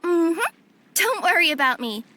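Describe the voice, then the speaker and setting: A woman's voice: extremely high pitch, expressive, measured pace, sharp tone, slight background noise, exaggerated voice. A woman voicing a confident, carefree young female yokai courier in a video game, breezy and self-assured. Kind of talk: English video game voice acting.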